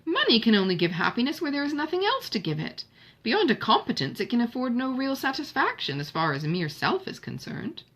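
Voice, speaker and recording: A woman's voice: on the high side, wildly swooping pitch, fairly quick, a bit bright, pitched above the performer's own voice, exaggerated voice. A woman voicing a passionate, idealistic young woman in a novel, speaking in an emphatic, formal manner. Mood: indignant.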